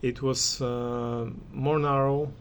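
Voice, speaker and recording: A man's voice: mid-range voice, level tone, takes it slowly, slight background noise. A man gives an unscripted spoken review of audio equipment, speaking hesitantly and often searching for his words. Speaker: audio reviewer giving listening impressions.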